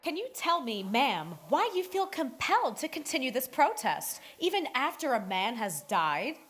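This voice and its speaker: A woman's voice: high-pitched, very animated, normal speed, a bit bright, a little hiss or hum. A woman playing a skeptical entertainment reporter in a radio play, asking questions in a dry, probing manner.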